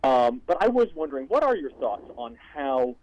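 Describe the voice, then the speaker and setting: A man asking a reporter's question over a telephone line, phrasing it in a hesitant, halting way. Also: medium pitch, very animated, a little mellow, no room sound. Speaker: news reporter. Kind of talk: spontaneous press question. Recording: dull phone conference line.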